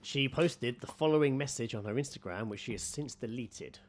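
A man chats loosely as a comedy podcast co-host. He riffs in a jokey, sweary way, with a mid-pitched voice and an easy conversational delivery. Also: normal speed, darkish tone, a little hiss or hum. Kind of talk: casual comic banter.

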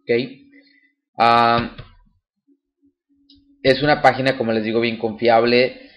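A man's voice: mid-range voice, little pitch movement, slow, highs cut off. A man casually explaining a website step by step, with fillers and restarts. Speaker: channel host demonstrating a website. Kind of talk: casual screen-recorded tutorial.

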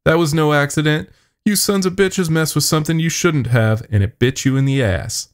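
A man's voice: on the high side, moderate pace, clean tone, voice pushed up, natural voice. A man voicing a blunt, angry, defiant male worker in a horror story. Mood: angry accusing.

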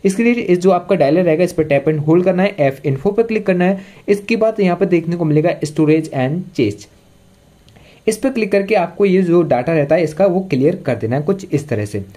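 A man's voice: sits high, lively intonation, normal speed, mellow. A man hosting a tech tutorial, explaining step by step in repetitive stock phrases.

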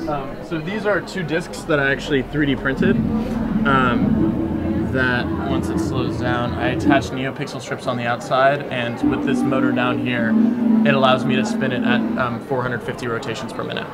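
A man's voice: mid-range voice, fairly even intonation, normal speed, over loud music. A male student explaining his exhibits casually, with frequent ums. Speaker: student presenting projects.

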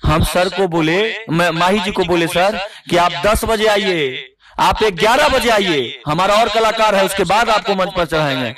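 A man's voice: sits high, lively intonation, unhurried. A man negotiating heatedly on a phone call, insistent and repeating himself, as an event organiser. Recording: phone call recording with crowd noise.

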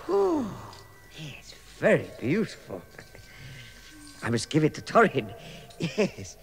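An actor playing a fussy, timid, good-hearted hobbit burglar in a radio drama, with a British accent and a stammering, hesitant manner. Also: male voice, a little high, full character voice. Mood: awed, furtive. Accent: British English.

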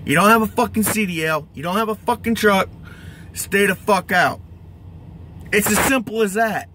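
A man's voice: high-pitched, normal speed, noisy background. A middle-aged American man, a truck driver, ranting to camera in an exasperated, emphatic, heavily profane way. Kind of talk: angry vlog rant. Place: inside a truck cab.

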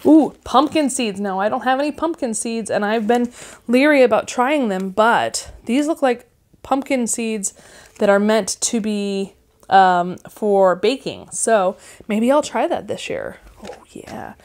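A woman in her thirties chatting casually at home, gushing with excitement as she unboxes and reads out seed packets. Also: fairly high pitch, measured pace. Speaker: gardening vlogger. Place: living room at home, indoors.

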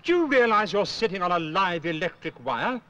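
A man's voice: high voice, very animated, normal speed, sharp tone, heavily acted. A British man voicing a wise, stern cartoon owl who lectures emphatically about safety in a 1970s public information film. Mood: stern warning. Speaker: safety instructor. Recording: dull old film soundtrack with music.

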